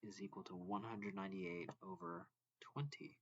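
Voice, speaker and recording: A man reading a math lesson aloud as a teacher, deliberately and step by step, phrase by phrase. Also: high-pitched, very animated, vocal fry, husky, dry recording, highs cut off. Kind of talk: slow read-along lesson narration.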